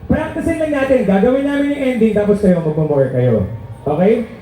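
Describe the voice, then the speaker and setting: A Filipino man talks to a live concert crowd in a high-pitched voice with a very dark tone. He is the band's frontman, casual and playful as he works the audience between songs. His words boom through the PA with heavy echo. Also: lively intonation, a little fast, slight background noise. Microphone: stage microphone through PA.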